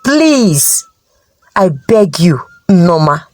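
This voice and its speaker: A woman's voice: fairly low pitch, big pitch swings, extremely slow, no room sound, soft background music, voice pushed down, heavily acted. A woman with a Nigerian accent voicing an earnest village father in a folktale, solemn and imploring. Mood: earnest pleading. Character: earnest, pleading village father advising his daughter.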